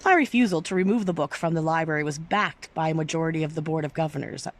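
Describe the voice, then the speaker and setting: A woman's voice: sits low, fast. A woman reading a story aloud expressively, with careful, theatrical phrasing. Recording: clearly noisy background.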